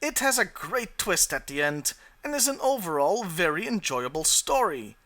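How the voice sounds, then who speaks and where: A man's voice: high voice, very animated, normal speed, sharp tone. A man narrating a scripted countdown review as the host.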